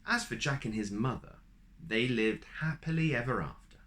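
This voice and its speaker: A man reading a children's storybook aloud, animated, with asides to the listener. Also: medium pitch, moderate pace.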